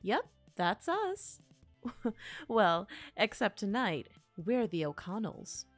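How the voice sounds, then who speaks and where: A woman's voice: medium pitch, big pitch swings, slow, no echo, soft background music. A woman playing a teasing, supportive friend in a naturalistic audio drama. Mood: playful. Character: playful, supportive girlfriend and friend in costume.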